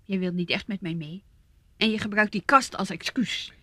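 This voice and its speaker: A woman's voice: medium pitch, moderate pace, pushed and bright, no room sound. An actress playing a demanding young girlfriend in a radio play, pressing and reproachful. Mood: insistent. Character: firm, demanding young girlfriend fed up with excuses. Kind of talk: Dutch radio play acting.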